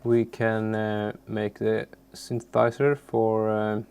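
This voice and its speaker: A man who is a non-native English speaker presents an unscripted software tutorial in a halting way, searching for words. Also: lowish voice, completely flat delivery, creaky, clean tone. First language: not English.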